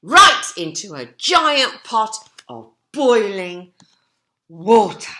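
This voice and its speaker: Woman doing storytime for little kids, very lively pitch, bright voice, chatty asides. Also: very slow. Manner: expressive, asking children rhetorical questions. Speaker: storyteller reading to young children. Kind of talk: animated storybook narration with asides to listeners.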